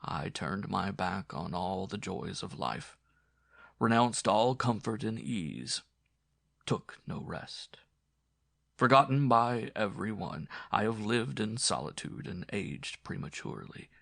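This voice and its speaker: A man voicing a dying old former prosecutor who confesses his guilt in a grave, halting, formal way. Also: fairly low pitch, animated, vocal fry, fairly bright tone, no echo, clean studio sound, exaggerated voice. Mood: remorseful, weary. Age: older.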